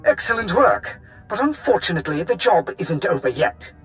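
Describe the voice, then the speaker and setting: A stern Imperial colonel from a sci-fi game, with a high voice that moves in wide, lively swings. He speaks formally and in clipped military phrases, over background music. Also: normal speed. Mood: businesslike. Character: brisk, officious male Imperial colonel, dutiful and stern. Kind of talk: video game cutscene acting. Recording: music behind the voice.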